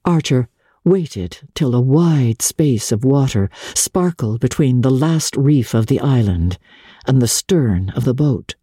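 Slow, husky woman reading dramatically. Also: extremely low pitch, lively intonation, dark tone, no echo, studio quality. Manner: measured, theatrical, with deliberate pauses. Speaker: narrator, audiobook reader. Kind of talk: dramatic literary narration.

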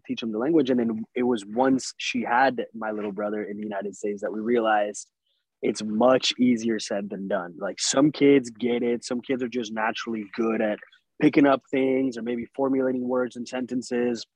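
A man's voice: medium pitch, steady tone, normal speed, a little mellow, slightly rough, dry recording, rough recording. A young man from Colombia hosting a podcast, asking long, rambling questions that often stumble. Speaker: podcast host. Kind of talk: casual interview conversation.

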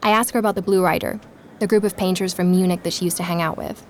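A woman's voice: fairly low pitch, steady tone, fast, slight background noise, natural voice. An actress playing a curious, candid woman podcaster, narrating an audio drama like a conversational, reflective diary. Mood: explanatory. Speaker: narrator and interviewer.

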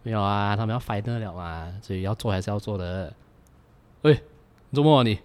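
A man's voice: on the low side, fairly quick, darkish tone, clean tone, close to an everyday voice. A man with a Malaysian accent playing a grumbling but caring male teacher in an amateur audio drama, speaking in colloquial banter. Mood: tired, puzzled.

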